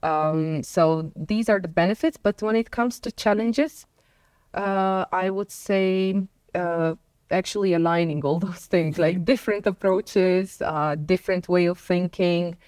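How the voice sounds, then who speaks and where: Low-voiced Croatian-born woman, dry sound. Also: fairly even intonation, measured pace, darkish tone, smooth, dry recording. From Croatia and Bosnia and Herzegovina. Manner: hesitant, many fillers, laughs between points. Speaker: podcast guest. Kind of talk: casual conversation.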